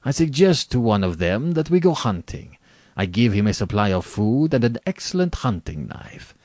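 Guy doing a smug upper-class general who hunts people, suave n condescending, pitch all over, dry close sound. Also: big pitch swings, moderate pace, a little mellow, no echo. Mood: bland, cruel. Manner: bland, suave, precisely worded condescension. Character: urbane, arrogant male aristocrat general who hunts men.

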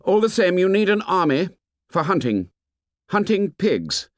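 A man voicing an excitable, boastful schoolboy hunter in forceful outbursts for an audiobook. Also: sits high, a little slow, dry recording, studio quality, pitched above the performer's own voice. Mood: eager, defiant. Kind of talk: audiobook character voice.

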